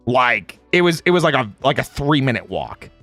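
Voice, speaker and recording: A man's voice: medium pitch, sing-song, moderate pace, a bit bright, dry recording, faint music behind. A man in a playful, casual livestream chat, reading out viewer comments and replying in slangy speech. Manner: playful, reads chat aloud and replies.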